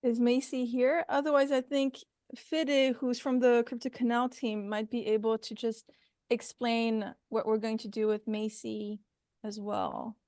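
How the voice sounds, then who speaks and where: Female event host, higher register, very dry close sound, informal online-meeting delivery. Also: fairly even intonation, unhurried, a little mellow, no room sound.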